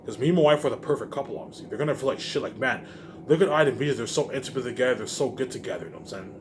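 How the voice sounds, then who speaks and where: Animated man, rambling and emphatic. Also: medium pitch, racing. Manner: repetitive, with frequent verbal tags; emphatic, profane. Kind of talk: rambling casual monologue to viewers. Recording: faint laundry-machine background noise.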